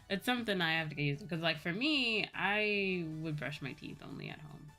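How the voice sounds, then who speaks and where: A woman's voice: fairly low pitch, expressive, moderate pace, bright, forceful tone. A woman livestreamer chatting casually with viewers, explaining things conversationally and often repeating her points. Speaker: streamer, VTuber host chatting with viewers. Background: background music.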